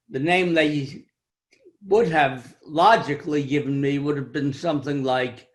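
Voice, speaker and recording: An older man with a high-pitched voice tells a wry, self-deprecating story about his own life in a club speech over a video call. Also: a bit bright. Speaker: club speaker.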